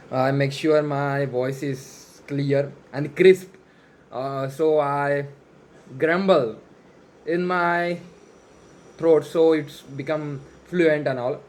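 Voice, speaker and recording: A man with an Indian accent, a native Marathi speaker, gives an unscripted motivational monologue in halting, repetitive non-native English. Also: a little high, a little mellow. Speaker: self-improvement challenge vlogger.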